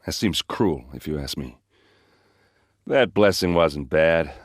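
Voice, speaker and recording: Gruff warrior, video game character, hard-drinking, friendly, boastful, wry, dry studio sound. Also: male voice, a bass voice, expressive, slow pace, no echo, exaggerated voice. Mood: conversational, proud. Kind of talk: game dialogue and combat barks.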